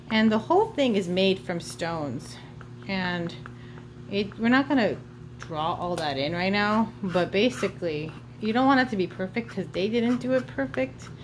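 A woman teaches drawing step by step at an unhurried pace, with a mid-pitched voice. She talks informally and thinks aloud as she draws. She is recorded indoors at a table, with clear noise and pencil sounds behind her. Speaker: art teacher. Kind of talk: step-by-step drawing lesson for children.

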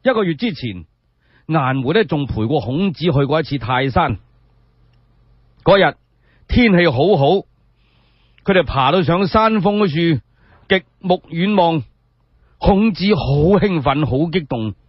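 A man telling a scripted story in Cantonese radio style, expressive and measured, with rhetorical asides, on a dull recording. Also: on the high side, no echo. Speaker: solo storyteller.

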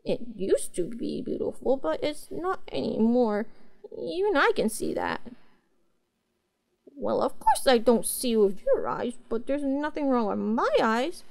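Cute neko girl: high, swooping voice, childlike and earnest, for roleplay audio. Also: big pitch swings, gravelly, a little mellow, full character voice. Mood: sad, apologetic. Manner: hesitant, childlike, halting phrasing. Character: cute, earnest, naive young cat-girl pet. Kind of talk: roleplay audio drama, speaking to the listener.

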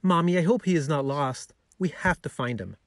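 Man as a schoolboy, cheerful, encouraging, exclamatory, raised pitch, children's storybook. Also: moderate pace, dry recording, clean studio sound, natural voice. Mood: worried. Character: cheerful, encouraging schoolboy, fond of his dog.

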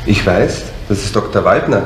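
A man's voice: fairly low pitch, animated, moderate pace, reverberant, a little hiss or hum, lightly acted. An actor playing a correct, matter-of-fact man with a formal manner in a 1970s radio crime drama.

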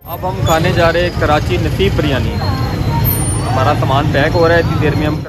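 A man's voice: on the high side, steady tone, moderate pace, loud music behind the voice. A man, chatting upbeat to viewers while vlogging through a market, filmed on a handheld phone with a lapel mic. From Lahore, Pakistan. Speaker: travel vlogger. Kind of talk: walking market vlog to camera. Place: busy indoor market shops. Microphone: phone held at arm's length with lapel mic.

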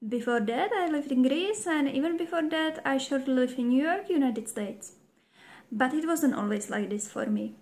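A woman's voice: sits high, animated, normal speed, a little mellow. A young Czech woman hosting her own show, speaking English as a second language in an upbeat, playful intro to camera. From Czech Republic. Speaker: show host. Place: home room, indoors.

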